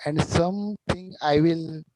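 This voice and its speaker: A man with an Indian accent giving unscripted feedback as a speech evaluator, halting and repeating himself as he searches for words. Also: fairly high pitch, steady tone, darkish tone, a little hiss or hum, rough recording. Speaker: speech evaluator at a public speaking club. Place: online video call.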